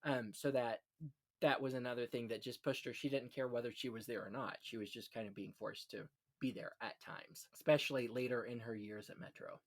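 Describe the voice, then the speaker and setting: A man's voice: medium pitch, steady tone, brisk. A man hosting a casual film history chat, telling anecdotes in a gossipy, conversational way. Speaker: podcast host.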